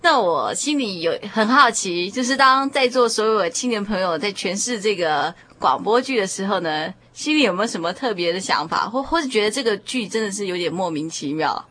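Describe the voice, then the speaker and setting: A woman with a Taiwanese Mandarin accent hosting a radio discussion in a measured, explanatory way. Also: medium pitch, expressive, a bit bright, a little hiss or hum. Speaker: host.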